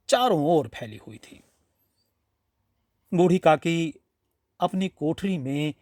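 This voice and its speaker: Animated, higher-pitched male storyteller. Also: breathy edge, no echo, studio quality. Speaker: storyteller reading a classic short story. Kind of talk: expressive scripted audiobook narration.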